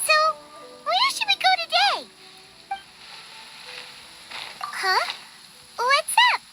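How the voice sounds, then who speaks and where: A woman voicing a small, childlike, easily indignant fairy companion in a video game, expressive and squeaky. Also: slow pace, music in the background, heavily acted, poor audio. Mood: cheerful, curious. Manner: squeaky, expressive, speaks of self in third person.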